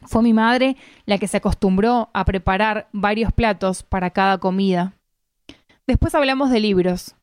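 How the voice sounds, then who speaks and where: A woman from Argentina with an Argentine Spanish accent reads a literary first-person story aloud in measured, deliberate phrasing. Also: mid-range voice, a little mellow, clear, resonant tone, dry recording. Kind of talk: literary narration, read aloud.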